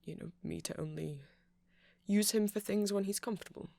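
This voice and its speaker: Man playing a nerdy, creaky-voiced, awkward vampire. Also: high-pitched, brisk, a little mellow, no room sound. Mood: earnest, rambling. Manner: hesitant, rambling, apologetic. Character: nerdy, awkward, affectionate male vampire tech enthusiast. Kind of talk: ASMR roleplay.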